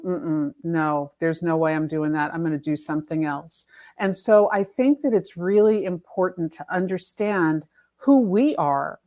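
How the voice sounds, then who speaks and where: A woman's voice: lowish voice, a little mellow. A woman explaining her work as an author and coach in a conversational interview, emphatic and fond of acting out example quotes. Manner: emphatic, illustrates points with imagined quotes. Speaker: guest, author and activism coach.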